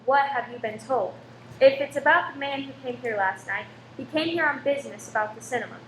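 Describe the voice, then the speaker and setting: Actress as spirited, loyal cinema wife in radio drama; high pitch, echoey room. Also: female voice, brisk, a bit bright. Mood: defensive. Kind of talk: amateur radio play acting. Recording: roomy echo with faint noise.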